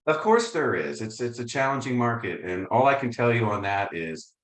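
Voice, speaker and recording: A man's voice: medium pitch, big pitch swings, moderate pace, reverberant. A man giving an upbeat, conversational coaching presentation on a video call. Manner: upbeat, conversational, self-deprecating. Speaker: real estate coaching presenter. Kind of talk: webinar presentation.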